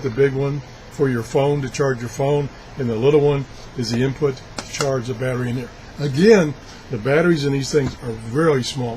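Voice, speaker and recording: Male hobbyist video reviewer, medium pitch, unscripted and halting, talking through what his hands are doing, slightly noisy recording. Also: darkish tone. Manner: halting, thinking aloud while handling things. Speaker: video blogger reviewing gadgets. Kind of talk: casual unscripted product demonstration.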